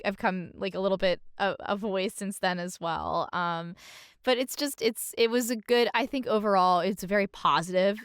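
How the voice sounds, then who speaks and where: A woman talking as a podcast guest in a casual, reflective way, halting and expressive with a self-deprecating streak. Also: medium pitch, smooth, no echo, studio quality.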